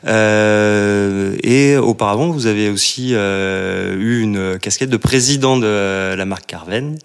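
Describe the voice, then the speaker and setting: Man, fairly low voice, smooth and clear, podcast interviewer, hesitant. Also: slow pace. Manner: hesitant, frequent fillers. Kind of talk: interview questions.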